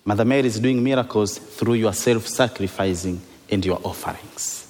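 A man's voice: fairly low pitch, darkish tone. Man preaching phrase by phrase, echoey hall. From Tanzania. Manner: speaks in short phrases, pausing for the interpreter. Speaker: priest preaching a sermon. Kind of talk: sermon delivered in English with consecutive interpretation. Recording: reverberant hall.

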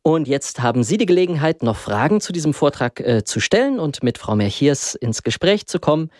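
A man's voice: on the high side, sing-song, brisk, a little mellow, no room sound, studio quality. A man moderating a religious radio call-in, introducing callers with frequent hesitations. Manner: filler-laden, friendly, introducing callers.

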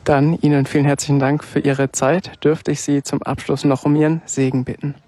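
A man's voice: on the high side, flat delivery, fairly quick, dark tone, no echo. A man working as a radio interviewer, presenting and asking questions politely.

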